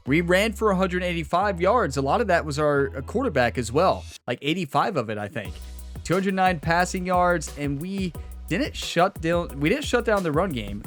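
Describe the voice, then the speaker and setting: A man hosting a gaming video in casual commentary, talking straight to his viewers, still getting his voice back after being sick. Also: a little high. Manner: excitable, talks straight to viewers. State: recovering from losing his voice.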